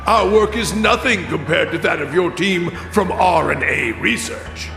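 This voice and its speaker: A slow, imperious imperial chancellor. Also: male voice, sits high, gravelly, pushed and bright, heavily acted. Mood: coolly courteous. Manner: formal, measured, imperious courtesy. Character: commanding, calculating imperial chancellor addressing rivals. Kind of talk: dramatic video game cutscene acting. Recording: echoey hall reverb, music behind. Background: music.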